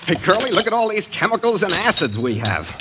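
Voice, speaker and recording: Cocky sidekick, high lively voice. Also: male voice, fairly quick. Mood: breezy, confident. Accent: American. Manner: breezy, overconfident. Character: cocky, careless scheming best buddy, comic sidekick. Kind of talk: 1940s radio comedy acting. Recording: noisy vintage 1940s radio broadcast recording.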